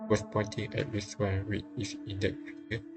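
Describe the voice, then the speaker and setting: A man with a fairly low voice narrates a visual novel live. He reads haltingly and adds casual remarks, with game music clearly behind him. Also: completely flat delivery. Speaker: streamer reading a visual novel aloud. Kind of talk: live read-aloud narration with casual comments.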